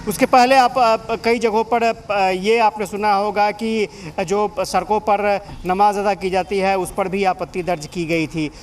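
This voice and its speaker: High-pitched male live news reporter. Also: fairly even intonation, unhurried, hardly any pauses.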